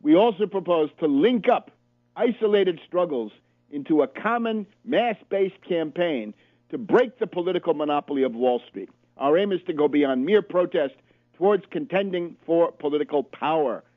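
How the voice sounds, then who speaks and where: A man hosting a political radio show, reading passages aloud and commenting on them emphatically, often pausing on fillers. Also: a little high, lively intonation, dry recording. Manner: emphatic, reads quotes aloud and comments sharply; hesitant fillers between points. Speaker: radio host. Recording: tinny phone-line sound, very dry.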